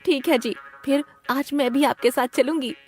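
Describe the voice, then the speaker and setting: A woman playing an eager village wife in a cartoon story, speaking in an expressive, exclaiming way. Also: high voice, moderate pace, faint music behind, natural voice. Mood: agreeable. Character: eager village wife, hesitant accomplice to husband's scheme.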